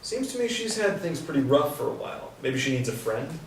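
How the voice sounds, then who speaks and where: A man's voice: a little high, sing-song, brisk. A man playing a sensitive, earnest carpenter in a live reading of a stage play. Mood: guarded, challenging. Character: Thomas, sensitive, earnest male carpenter, defends others. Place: echoey indoor stage or hall. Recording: very echoey room, distant mic, faint hiss.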